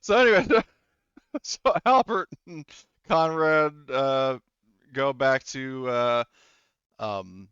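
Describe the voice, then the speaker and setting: Casual man running a game, clear voice. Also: mid-range voice, fairly bright tone, no echo, lo-fi sound. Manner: casual, halting, profane. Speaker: game master. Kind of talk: narrating a tabletop game.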